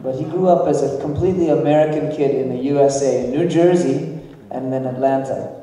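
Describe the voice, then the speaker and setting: A man in his forties with a slight Nepali accent, a band leader chatting casually from a church stage with relaxed, halting speech. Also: medium pitch. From grew up in Nepal. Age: 40s. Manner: relaxed, halting, many fillers. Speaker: band leader introducing the group. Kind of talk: casual stage talk to a congregation. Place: large church hall. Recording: echoey hall from far off.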